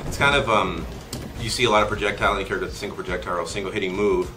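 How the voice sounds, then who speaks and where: Fast-talking, low-voiced man explaining game moves. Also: fairly even intonation, noisy background. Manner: explanatory, heavy gaming jargon. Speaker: game demonstrator on a stream. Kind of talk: casual unscripted fighting-game commentary. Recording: distant mic under loud game music.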